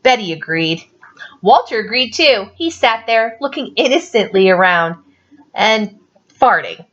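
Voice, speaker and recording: A woman reading a story aloud informally, with chatty asides and an animated, giggly manner. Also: on the high side, unhurried, lo-fi sound. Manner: animated, giggly, easily cracked up.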